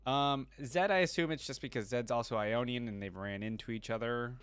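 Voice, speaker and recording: A man's voice: medium pitch, fairly quick, a bit bright, clear, resonant tone, no echo. A man giving casual, curious reaction commentary as the host of a video, often interjecting short remarks.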